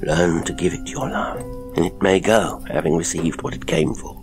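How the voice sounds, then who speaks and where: A man voicing an earnest, gentle priest in an audiobook, speaking in a measured, insistent way. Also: sits low, sing-song, creaky, music in the background, full character voice. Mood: earnest, soothing. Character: earnest, gentle priest counselling a tormented friend.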